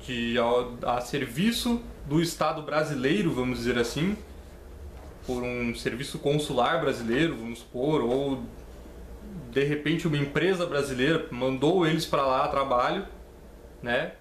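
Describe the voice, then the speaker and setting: A man's voice: mid-range voice, unhurried. A Brazilian man in his twenties with a Brazilian Portuguese accent, explaining citizenship law in a casual way, reading legal text aloud and checking in with his listeners often. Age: 20s. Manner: conversational, checks in with "beleza?" and "né?". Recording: echoey room with faint ambient noise.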